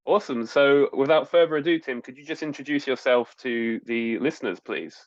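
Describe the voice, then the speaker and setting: A man's voice: mid-range voice, fairly quick, no room sound, muffled recording. A man hosting a travel podcast, friendly and chatty, summing up the guest's answers. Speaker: podcast host. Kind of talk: podcast interview.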